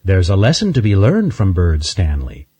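A man's voice: low voice, huge pitch swings, normal speed, mellow, husky, no echo, full character voice. A man doing a caring mother squirrel. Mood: firm. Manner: instructive, firm.

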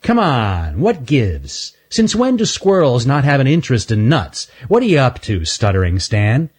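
A man voicing a cocky male chipmunk who teases breezily, in a raised, husky voice. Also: mid-range voice, wildly swooping pitch, moderate pace, a little mellow, voice pushed up. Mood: teasing. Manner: teasing, breezy. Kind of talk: storybook character voice.

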